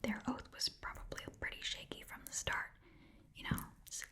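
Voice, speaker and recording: A woman's voice: bright, forceful tone, lightly acted. A woman voicing a chatty, eager goblin pawn-shop keeper in a whispered ASMR fantasy roleplay, rambling and repeating herself. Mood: haggling, hesitant. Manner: halting, rambling, repeats phrases.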